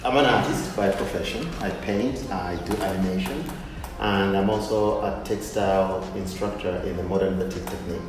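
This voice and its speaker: A man's voice: low-pitched, moderate pace, music in the background. A man, giving a casual personal interview in a hesitant, circling way. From Uganda. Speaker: interview guest, artist and textile instructor. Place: gallery showroom. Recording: very echoey hall, distant mic, faint noise.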